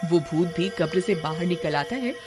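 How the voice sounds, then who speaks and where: A woman's voice: fairly low pitch, lively intonation, moderate pace. A woman narrating a scripted cartoon horror story as the storyteller. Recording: music behind the voice.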